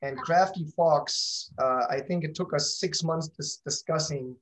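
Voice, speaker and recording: A man with a somewhat high-pitched voice talks casually as an interview guest. His phrasing is loose and self-correcting, often restarting as he explains things about his work. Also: unhurried, fairly bright tone. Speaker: interview guest, company founder.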